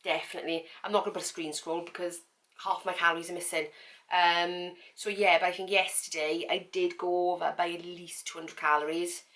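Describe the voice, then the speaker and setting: A woman talks straight to her viewers in a casual vlog, her voice a little croaky and pitched on the low side. She chats frankly and loosely, circling back with fillers and repeated words. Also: flat delivery, brisk, fairly bright tone. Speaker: vlogger. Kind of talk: casual talk to camera.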